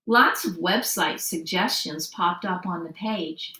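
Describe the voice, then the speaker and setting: A woman reading a children's story aloud, narrating expressively and deliberately and acting out the dialogue. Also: fairly low pitch. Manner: animated, deliberate, acts out dialogue. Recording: roomy, distant microphone.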